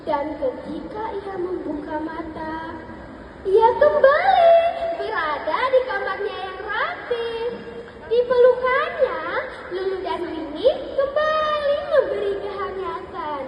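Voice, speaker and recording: A woman telling a children's story theatrically, with dramatic, drawn-out emphasis. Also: extremely high pitch, expressive, lo-fi sound, crowd noise. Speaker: storytelling contestant. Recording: echoey hall with loud music behind.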